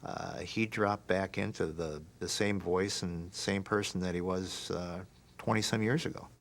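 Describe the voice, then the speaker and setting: A man recounting a case as an interviewed investigator, matter-of-fact, with hesitant pauses. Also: low-pitched, steady tone, vocal fry, heavy background noise.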